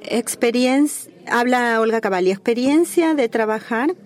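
A woman giving a simultaneous interpretation of a formal working-group call, speaking in a measured, formal way with small restarts. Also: on the high side, expressive.

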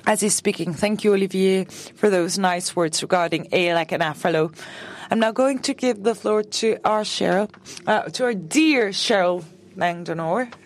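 A man's voice: high-pitched, moderate pace. Earnest man chairing a session. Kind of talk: formal introductions.

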